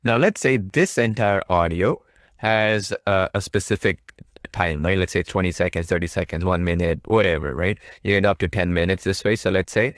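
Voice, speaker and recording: A man casually narrating a software tutorial, explaining step by step with repetition and frequent check-in questions. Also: deep voice, moderate pace, dry recording, clean studio sound. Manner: repetitive, explanatory, often checking with "right?" and "okay?". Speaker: tutorial presenter.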